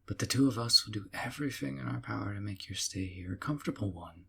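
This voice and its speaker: An actor playing a sweetly possessive young yandere man in an audio roleplay, doting and softly teasing. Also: fairly low pitch, expressive, quick pace, creaky, slight background noise, heavily acted. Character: doting obsessive young male yandere captor.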